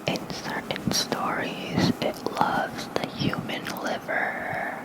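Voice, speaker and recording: Soft, slow ASMR whisperer. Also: rough recording. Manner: soft whispering with casual asides. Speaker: ASMR creator and host. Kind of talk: whispered ASMR lore reading. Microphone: close fluffy-covered microphone.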